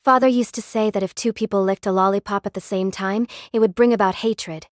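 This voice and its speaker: A woman's voice: mid-range voice, fairly even intonation, fairly quick, dry recording, clean studio sound, close to an everyday voice. A woman playing a curious, questioning young anime character in scripted audio drama dialogue. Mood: casual, teasing.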